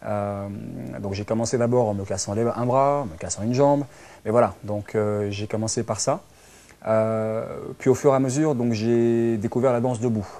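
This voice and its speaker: A man in his thirties with a Belgian French accent talks about himself as an interview guest. His voice sits a little low, with a dark, relaxed tone. He builds his answers haltingly, repeating small linking words as he goes. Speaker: interviewee, dance teacher and social worker. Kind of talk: conversational interview, recounting own life. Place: small furnished lounge indoors.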